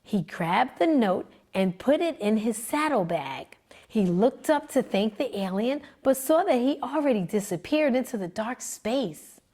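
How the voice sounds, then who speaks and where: A woman librarian reading a children's picture book aloud with animated, expressive storytelling. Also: on the high side, unhurried. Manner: animated, expressive, sing-song narration.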